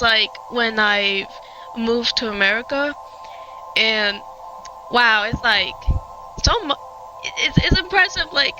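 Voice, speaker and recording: A woman's voice: a little high, highs cut off. Puerto rican girl streaming an indie game, spanish accent, lots of likes and uhs, music playing in the back. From Puerto Rico. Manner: rambling, many fillers and restarts. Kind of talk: casual let's-play commentary.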